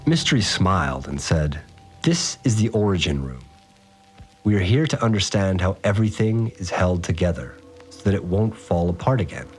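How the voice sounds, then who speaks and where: Rambling low-voiced working guy, awed. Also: sing-song, moderate pace, soft background music. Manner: slangy, rambling, unsure of his words. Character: awed, rough-spoken working man recounting strange events on tape. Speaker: fixer, a manual worker. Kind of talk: informal first-person audio diary in fiction podcast.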